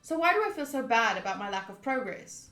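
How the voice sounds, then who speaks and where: A chatty, expressive woman, a native Afrikaans speaker, streaming a game with casual talk and reading aloud. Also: on the high side, brisk. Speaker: streamer playing a visual novel. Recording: roomy sound with music underneath. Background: game music.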